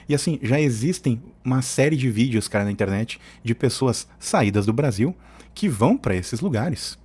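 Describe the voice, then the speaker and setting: A man's voice: medium pitch, lively intonation, normal speed, a little mellow, husky. A man giving informal, opinionated political commentary as a channel host, often digressing in colloquial speech. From southern Brazil.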